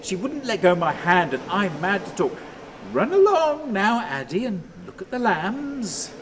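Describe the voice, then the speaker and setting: An actor playing a bitter, brooding man haunted by memories, rambling and halting in a radio play monologue. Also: high voice. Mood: exasperated. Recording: noisy, steady ambient sound behind.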